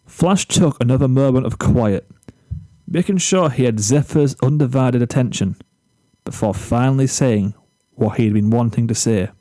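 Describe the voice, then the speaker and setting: A man narrating a scripted story in a measured, deliberate way, pausing between phrases. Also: mid-range voice, dark tone, dry recording, rough recording. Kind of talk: scripted fan fiction narration, storybook reading.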